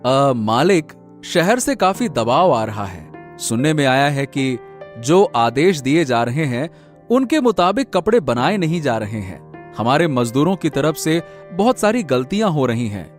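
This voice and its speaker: A man's voice: medium pitch, very animated, measured pace. A man playing an earnest, deferential factory manager in a cartoon moral story, respectful and explanatory. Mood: concerned. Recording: music behind the voice.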